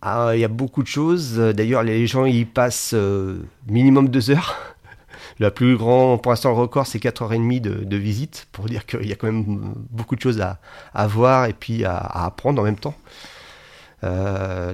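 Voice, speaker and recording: A man's voice: on the low side, unhurried, gravelly, darkish tone. A man from Angers, in France, talking about his museum in a spontaneous interview, hesitant and full of fillers and restarts. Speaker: museum founder, association member. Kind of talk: radio interview, spontaneous speech.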